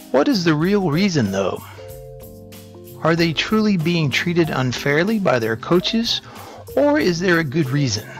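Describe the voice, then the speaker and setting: A man reading scripted motivational narration in a measured, instructive way. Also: a little high, fairly bright tone. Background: background music.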